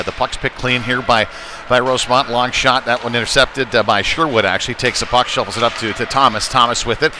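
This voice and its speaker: A man calling a live youth hockey game rinkside in a conversational, hesitant way that lifts with the action. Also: medium pitch. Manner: conversational, hesitant, rising excitedly on shots. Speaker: play-by-play hockey commentator. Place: rinkside at an indoor hockey arena. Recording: noisy rink ambience behind voice.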